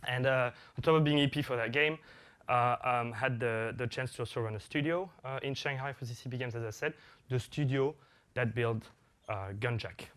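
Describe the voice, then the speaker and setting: A man's voice: mid-range voice, moderate pace, fairly bright tone. A man whose first language is French, giving a conference talk as an executive producer, with many fillers and restarts.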